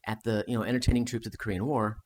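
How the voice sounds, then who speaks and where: A man's voice: on the low side, steady tone, very fast. An American man co-hosting a podcast, chatting casually about history and rambling with fillers and restarts. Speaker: podcast co-host.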